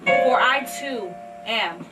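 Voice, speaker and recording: A woman's voice: on the high side, animated, extremely slow. A woman giving a dramatic poetry recital as a proud, confident, defiant brother, with emphatic declamation. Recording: distant mic, loud piano music.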